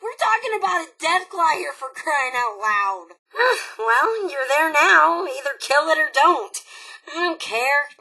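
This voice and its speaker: Gleefully bloodthirsty female game raider bot, high voice, swooping intonation, sarcastic, mocking, brash. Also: unhurried, heavily acted, poor audio. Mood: exasperated, mocking. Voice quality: bright, sharp, forceful. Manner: brash, sarcastic, excitable, swearing freely. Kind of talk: animated video game character acting.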